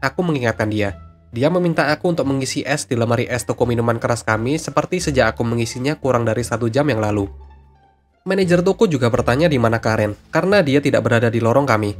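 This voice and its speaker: A man's voice: medium pitch, lively intonation, quick pace. A man reading out scripted stories as a narrator, with music behind him.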